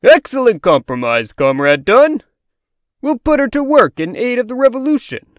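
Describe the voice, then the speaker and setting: A man's voice: very high-pitched, very animated, normal speed, no room sound, studio quality. A man voicing a pompous, officious revolutionary pig in a comic dub, with formal phrasing. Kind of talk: amateur comic dub acting.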